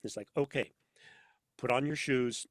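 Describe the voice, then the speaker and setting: A man chatting casually as an interview guest, telling anecdotes in an animated way and acting out bits of dialogue. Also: medium pitch, measured pace, no echo, studio quality. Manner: animated storyteller, acts out imagined dialogue. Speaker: podcast guest, sound designer for audio dramas. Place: inside a studio.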